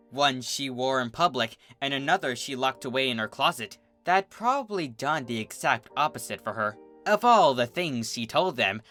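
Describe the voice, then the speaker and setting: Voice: mid-range voice, bright, forceful tone. A narrator reading a scripted fan fiction story in a dramatic storytelling style, with music behind.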